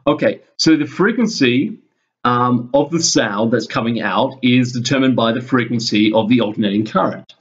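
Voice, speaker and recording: A man speaks at an average pitch on a dull, lo-fi recording. He sounds like a teacher giving an informal lesson at his computer. He thinks aloud as he goes, building the explanation haltingly, one step at a time. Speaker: physics teacher. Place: indoors, screen-recorded voice-over at a computer.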